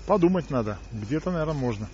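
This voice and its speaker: A man's voice: a little high, lively intonation, quick pace. A man chatting casually on the phone, laconic, evasive and playfully unhelpful. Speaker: person receiving a sales call. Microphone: phone call. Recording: muffled phone call, faint noise.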